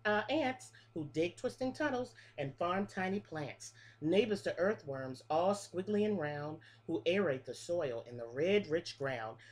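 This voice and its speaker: Preschool teacher, woman, middle-aged; rhythmic read-aloud with asides to the kids, slightly low voice, very lively melody, close webcam. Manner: sing-song rhythmic reading with explanations to children. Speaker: pre-K teacher. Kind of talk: children's picture book read-aloud. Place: small room with a classroom wall display. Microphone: webcam at close range.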